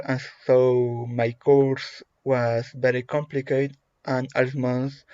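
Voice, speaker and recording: A man from Chile with a Chilean Spanish accent, a native Spanish speaker reading a prepared self-introduction in English, halting, with frequent fillers and restarts. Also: mid-range voice, a little mellow, no room sound. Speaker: English language student. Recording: dull lo-fi recording.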